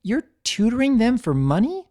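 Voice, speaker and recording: A performer voicing an earnest, concerned young female pony in a cartoon fan story, gently questioning a friend. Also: mid-range voice, very animated, normal speed, voice pushed up, lightly acted. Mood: incredulous.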